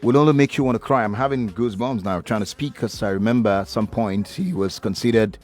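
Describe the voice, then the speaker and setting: A man's voice: fairly low pitch, fast, a little mellow, faint music behind. A male radio co-host talking in an emphatic, heartfelt way, with many fillers. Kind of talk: casual radio talk.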